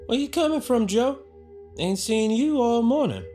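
An actor playing a practical, plain-spoken gardener with a folksy Southern US accent in an audio drama. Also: male voice, very high voice, expressive, moderate pace, mellow. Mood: calling out. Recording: music behind the voice.

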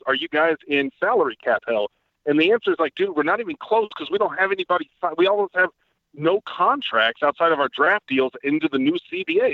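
Fast-talking man on a tinny line. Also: a little high, no room sound. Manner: fast-talking, analytical, swears casually. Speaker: podcast guest, team site writer. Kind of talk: casual podcast chat. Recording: tinny dry phone-line audio.

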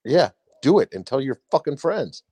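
Lively man joking around on a podcast. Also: mid-range voice, breathy edge, dry recording. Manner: laughs often, quick joking asides. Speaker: podcast co-host. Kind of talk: casual podcast banter.

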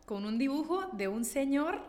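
A woman from Catalonia speaking Spanish with a Catalan accent, telling stories in an expressive, chatty way as a guest illustrator. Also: sits high, normal speed. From Igualada, Catalonia, Spain. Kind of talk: casual conversation. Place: Barcelona.

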